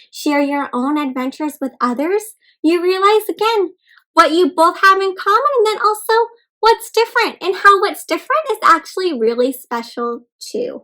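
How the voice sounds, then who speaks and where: A woman's voice: sits high, fairly bright tone, poor audio. A woman hosting an interactive storybook read-aloud for young children, speaking expressively and encouragingly and often putting questions to her listeners. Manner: addresses listeners as friends.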